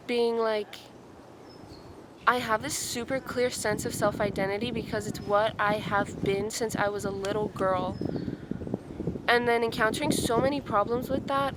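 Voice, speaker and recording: A woman in her twenties talking through her thoughts in a casual outdoor vlog, musing and full of "like". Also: on the high side. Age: 20s. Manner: musing, says "like" a lot. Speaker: vlogger. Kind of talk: reflective casual vlog talk. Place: outdoors in a forest. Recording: outdoor noise behind her.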